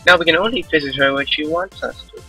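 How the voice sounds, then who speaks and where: A man's voice: on the high side, fast talker, bright, forceful tone, breathy edge, exaggerated voice, poor audio. A man voicing a flustered, guarded young spirit girl in a live visual novel dub, with a prim, questioning delivery. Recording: music playing behind the voice.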